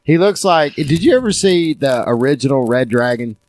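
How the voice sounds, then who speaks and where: A man's voice: medium pitch, animated, normal speed, a little mellow. A man hosting a podcast in casual chat, trading crude, profane jokes. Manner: crude, joking, profane banter. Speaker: podcast host.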